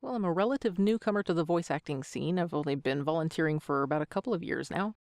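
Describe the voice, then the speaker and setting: A woman's voice: low voice, expressive, fast, a little mellow, dry recording, clean studio sound. A woman in her thirties answering interview questions as an amateur voice actor, with a joking, self-deprecating manner. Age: 30s.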